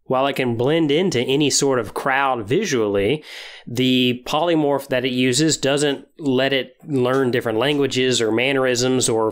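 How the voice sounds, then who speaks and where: A man hosting a tabletop gaming podcast, explaining things in an informal, conversational way. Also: mid-range voice. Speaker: podcast host. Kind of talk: explanatory hobby podcast talk about tabletop role-playing games.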